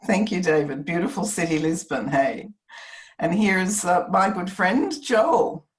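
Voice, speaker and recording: A woman with a fairly low voice chairs an informal video call. She is chatty, polite and encouraging as she moves the meeting along. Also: expressive, measured pace, roomy sound. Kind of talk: informal online meeting facilitation.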